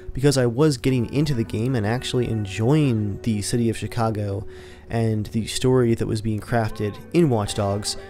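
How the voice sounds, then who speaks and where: A man of middle pitch hosting a gaming vlog, talking in a relaxed, conversational ramble. Faint music plays quietly behind his voice. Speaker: gaming channel host. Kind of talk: casual gameplay commentary.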